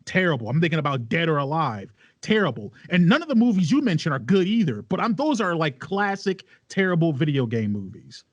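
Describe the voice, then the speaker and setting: A man's voice: a little high, very animated, fairly quick, few pauses, dry recording, muffled recording. A man, a podcast panelist who banters casually in an argumentative, exasperated way.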